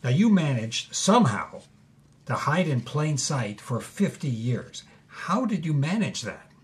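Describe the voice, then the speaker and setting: A man's voice: on the high side, very animated, a little slow. An actor playing a curious man who puts questions in a conversational interview style within a drama. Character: curious man questioning an old woman about her life.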